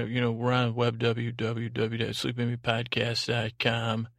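A male podcast host with a creaky voice rambles in a halting, meandering way. His pitch stays fairly even as he wanders into tangents and repeats himself. The sound is dry, with little room around it. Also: no echo.

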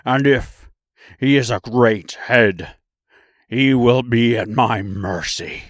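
Man doing a loud blustering lion. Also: medium pitch, slow pace, no echo, studio quality, lightly acted. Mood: boastful. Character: bold male lion, blustering and boastful.

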